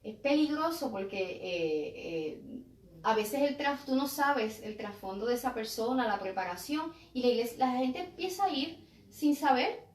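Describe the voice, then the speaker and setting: High-voiced Puerto Rican woman, echoey room. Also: lively intonation, normal speed. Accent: Puerto Rican Spanish. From Puerto Rico. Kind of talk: conversational discussion. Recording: echoey room, distant microphone.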